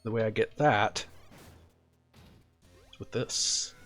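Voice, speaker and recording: A man streaming a video game, talking casually and quietly about what he's doing in a relaxed, chatty way. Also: fairly low pitch, slow pace, soft background music. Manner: soft-spoken, relaxed and chatty. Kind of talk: casual gameplay commentary.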